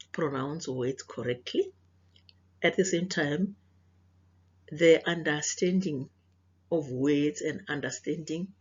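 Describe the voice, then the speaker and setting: A woman with a South African accent speaking as a teacher to children, deliberate and questioning, presenting a read-aloud in a muffled recording. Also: low voice, breathy edge.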